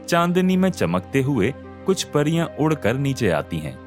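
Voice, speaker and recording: A man narrating a scripted animated moral story. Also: medium pitch, unhurried. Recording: music behind the voice.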